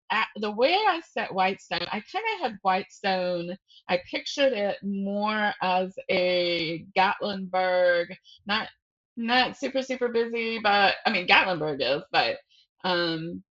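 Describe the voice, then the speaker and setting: A woman talks in a relaxed, conversational interview style at a middling pitch. She thinks aloud, interrupting and rephrasing herself as she explains. The recording is a little dull and limited in its frequency range. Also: a little slow. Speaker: guest novelist. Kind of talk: casual podcast interview.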